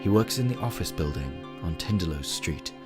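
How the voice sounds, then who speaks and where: A man playing a society president who introduces eerie small-town tales in a radio play, measured and solemn. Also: low voice, expressive, music in the background. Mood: solemn, welcoming. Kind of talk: scripted radio drama narration.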